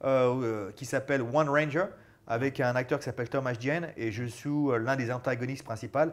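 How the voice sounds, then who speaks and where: A man's voice: medium pitch, a little fast. A man in his forties, a guest chatting casually and animatedly in a small studio lounge, on a lapel mic. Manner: animated, gestures a lot while talking. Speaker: guest, former fighter turned actor and stuntman. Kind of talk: casual interview chat.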